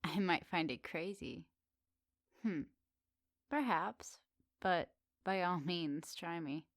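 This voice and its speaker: Woman playing a slow, teasing flirty witch. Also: on the low side, dry recording, studio quality. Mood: playful, inviting. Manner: teasing, coy, expressive with soft pauses. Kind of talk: ASMR roleplay, addressed intimately to the listener.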